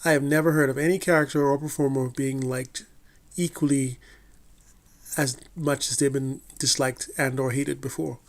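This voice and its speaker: A man reading a scripted TV review in a measured, deliberate way, with the odd slip and restart. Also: mid-range voice, fairly even intonation. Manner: measured, deliberate delivery with occasional slips and restarts. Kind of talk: scripted review read aloud.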